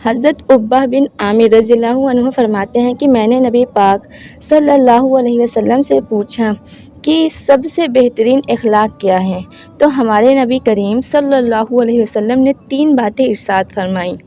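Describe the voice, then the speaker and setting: A woman host giving an informal religious talk to viewers, explaining haltingly and correcting herself as she goes. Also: a little high, mellow, a little hiss or hum. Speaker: host of Islamic advice channel.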